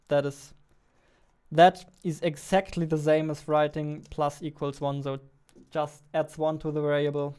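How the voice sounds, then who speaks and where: A man's voice: on the high side, darkish tone, clean tone. A man in his twenties giving casual live commentary while coding, speaking into a close studio mic indoors. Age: 20s. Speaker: indie game developer, stream host. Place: small indoor room at a desk. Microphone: close studio mic on boom arm.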